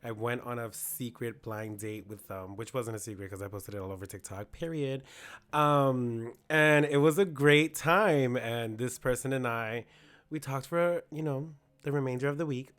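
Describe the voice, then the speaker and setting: A hoarse man co-hosting a podcast, chatting candidly about his week and quoting himself in asides. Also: medium pitch, normal speed, a bit bright. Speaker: podcast co-host. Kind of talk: casual chat.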